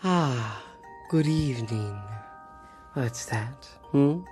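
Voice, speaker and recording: A man's voice: medium pitch, lively intonation, faint music behind, voice pushed down. A man voicing a sinister, theatrical old-time horror host narrating a suspenseful tale in an ominous manner. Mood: eerie, inviting. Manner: slow, ominous, hushed suspense.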